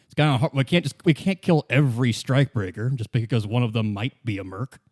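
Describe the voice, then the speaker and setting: A man voicing a tough, loyal union vigilante leader in an improvised tabletop game, blunt, defensive and halting. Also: mid-range voice, a little mellow, lightly acted. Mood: defiant.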